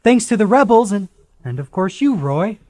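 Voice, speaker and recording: A man's voice: high voice, sing-song, normal speed, a little mellow, no echo, studio quality, soft background music. A man playing a nervous, chatty techie sidekick who hesitates and over-explains in a comic audio drama. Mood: eager explaining.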